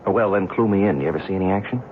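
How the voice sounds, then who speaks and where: A man's voice: deep voice, very fast, close to an everyday voice. An actor playing a brash, skeptical American newspaperman in an old-time radio drama, casual and wisecracking. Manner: breezy, colloquial, incredulous. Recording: tinny, noisy old radio recording.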